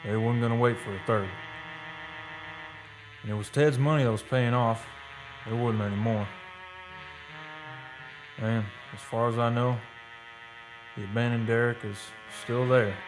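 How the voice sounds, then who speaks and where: A man acts out a rattled, defensive oil-rig hand in a radio play. He tells his grim, eerie story in plain, folksy working-man talk. His voice sits at a middle pitch, with music clearly playing behind it. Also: fairly even intonation, unhurried, pauses often, natural voice, rough recording. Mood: haunted, grim. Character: shaken oil-rig worker recounting eerie deaths, defensive under questioning. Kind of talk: radio drama first-person narration.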